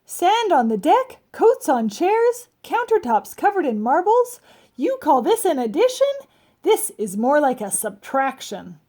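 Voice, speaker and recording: A woman voicing a flustered, exasperated father in a children's storybook, with emphatic, drawn-out exclamations. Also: sits high, big pitch swings, dark tone, pitched above the performer's own voice. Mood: indignant.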